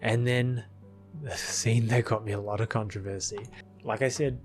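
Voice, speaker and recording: Lowish-voiced man giving casual commentary. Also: fairly even intonation, normal speed, over music. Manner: rambling, chuckling asides. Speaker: video creator, film editor.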